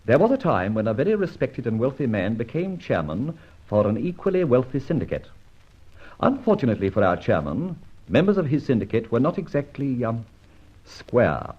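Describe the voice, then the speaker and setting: An actor playing a masked, mocking yet courteous gentleman abductor in an old-time radio detective drama, with a theatrical, urbane, teasing delivery. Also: medium pitch, very animated, normal speed, slightly rough, lo-fi sound. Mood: wry, persuasive.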